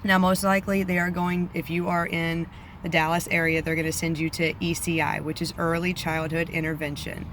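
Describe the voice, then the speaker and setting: A woman's voice: fairly low pitch, brisk, slight background noise. A woman giving casual parenting advice on camera, her voice slightly off from allergies, with many ums. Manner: chatty, many ums, flat delivery. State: voice a bit off from allergies. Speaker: vlogger, parent.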